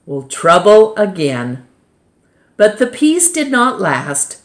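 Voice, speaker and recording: An American woman reading a children's nonfiction book aloud like a storytime teacher, deliberately, pausing between short phrases. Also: mid-range voice, very animated.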